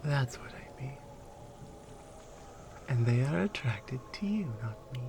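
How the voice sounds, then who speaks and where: A woman playing a stern, proud Amazonian warrior with a captive, in a taunting, deliberate ASMR roleplay. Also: rumbling low, lively intonation, vocal fry, noisy background, exaggerated voice. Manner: taunting, deliberate, hushed at times.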